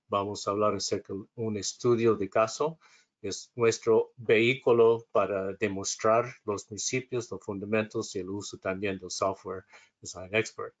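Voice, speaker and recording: Low-voiced man, hesitant. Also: muffled recording. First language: English. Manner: hesitant, self-correcting, reading from notes. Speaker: instructor. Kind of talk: webinar lecture.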